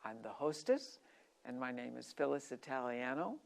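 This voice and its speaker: A woman with a very low-pitched voice hosts a talk show interview. She is chatty and conversational, steering guests with questions and exclaiming at their answers. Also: animated, normal speed, gravelly, a little mellow.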